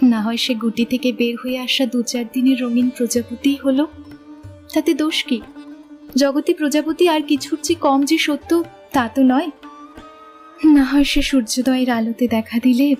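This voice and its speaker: A woman's voice: high-pitched, close to an everyday voice. A woman playing a thoughtful, well-read young woman in love, speaking earnestly and eloquently in a literary audio drama.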